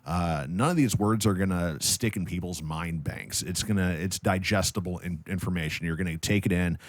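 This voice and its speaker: A man's voice: deep voice, normal speed, no room sound. A man in casual podcast conversation, explaining things emphatically and repeating himself for effect. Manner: explanatory, emphatic repetition, profane. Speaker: podcast guest with radio background.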